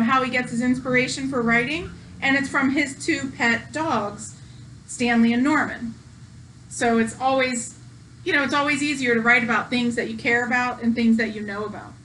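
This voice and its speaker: A woman's voice: high-pitched, bright, forceful tone, recorded from a distance, a little hiss or hum, low-quality recording. A woman reading a picture book aloud to young children, speaking simply and affectionately, as a school educator does.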